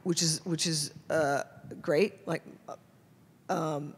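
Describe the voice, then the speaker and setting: A middle-aged American woman answering audience questions as a guest speaker, casual and halting, often restarting her sentences. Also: sits low, steady tone, slightly rough. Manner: halting, self-interrupting, informal. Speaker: guest photojournalist. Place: indoor hall at a public talk. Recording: amplified in a reverberant hall.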